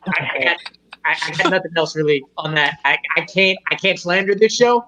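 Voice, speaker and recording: A man hosting a casual podcast, talking in an emotional, halting way. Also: sits high, noisy background. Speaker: podcast host. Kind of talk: casual chat. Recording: dull lo-fi sound.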